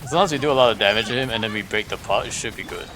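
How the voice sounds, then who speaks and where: A man's voice: on the low side, very fast, sharp tone. A man giving casual live gameplay commentary, thinking aloud as he repeats and questions himself. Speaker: game livestreamer.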